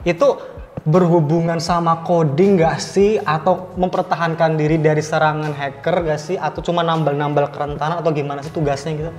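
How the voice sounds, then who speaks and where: A man's voice: on the high side, fairly even intonation, a little fast. An Indonesian man hosting a casual interview in a chatty way, with youthful slang and bits of English. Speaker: talk show host, interviewer. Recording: reverberant hall sound, faint music.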